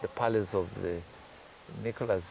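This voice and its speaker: A man's voice: fairly low pitch, steady tone, moderate pace, darkish tone, slight background noise, poor audio. A man from Kenya, a journalist guest in an interview, who explains his points patiently with examples. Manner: thoughtful, explains with examples and asides. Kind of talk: conversational interview.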